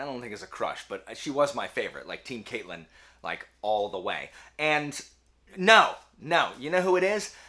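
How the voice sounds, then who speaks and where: A man talking casually to camera as a book channel host, emphatic and animated, with a self-deprecating way of speaking. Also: mid-range voice. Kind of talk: casual talk to camera, answering book tag questions.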